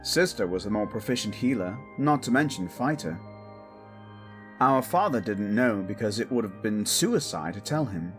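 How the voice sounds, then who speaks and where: A man's voice: on the low side, expressive, moderate pace, soft background music, close to an everyday voice. A man playing a protective exiled prince standing in as king, firm, formal and weary, in a fantasy audio drama. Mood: guarded, weary. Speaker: voice actor.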